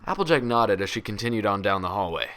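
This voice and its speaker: A man's voice: fairly low pitch, lively intonation, fast talker, fairly bright tone. A man reading fan fiction aloud as scripted story narration.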